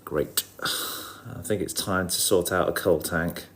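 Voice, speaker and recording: A man's voice: deep voice, fairly even intonation, takes it slowly, a bit bright. A man with a nasal, stuffed-up voice from a cold, chatting informally on a livestream and muttering asides to himself. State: has a head cold. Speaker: livestream host. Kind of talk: casual livestream chat, greeting viewers while looking over fish tank.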